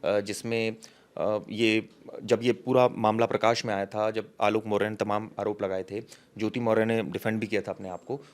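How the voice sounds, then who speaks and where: A man working as a news reporter, explaining details in an unscripted discussion, haltingly and with English legal terms mixed in. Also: lowish voice, flat delivery.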